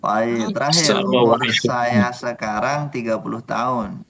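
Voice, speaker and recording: A man's voice: medium pitch, unhurried, creaky, a loud crowd. A male teacher running an online class, patiently explaining number words and drilling his students. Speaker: Arabic teacher.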